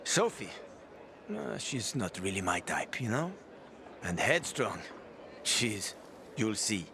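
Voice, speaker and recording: A man's voice: fairly low pitch, big pitch swings, takes it slowly, noisy background, full character voice. An actor playing a casual, gossipy male survivor in a video game. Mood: hesitant, amused. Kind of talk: naturalistic video game acting.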